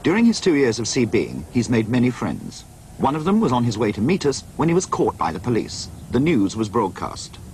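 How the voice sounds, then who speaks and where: A man's voice: mid-range voice, huge pitch swings, brisk, a little mellow, slight background noise. A man narrating a scripted television news feature as a reporter.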